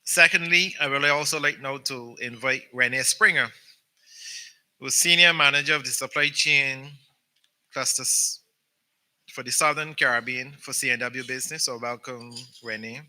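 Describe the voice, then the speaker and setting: A man's voice: medium pitch, normal speed, pushed and bright, low-quality recording. A man moderating a business forum panel, introducing speakers with many ums and repeated words. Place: conference hall.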